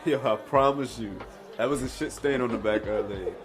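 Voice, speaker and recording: A man's voice: mid-range voice, fairly quick, close to an everyday voice. Actor as a slangy, teasing young man over music. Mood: joking. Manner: slangy, teasing, casual banter. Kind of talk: audio drama dialogue.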